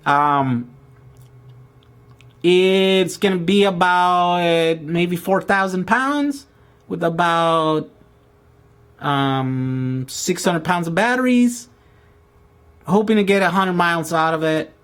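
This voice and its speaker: A man's voice: high voice, expressive, slow, pauses often, a bit bright, clear, resonant tone. A Brazilian-born man, casually answering viewers' questions in a rambling way with many restarts. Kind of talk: casual live Q&A chat. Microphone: outdoor camera with mic.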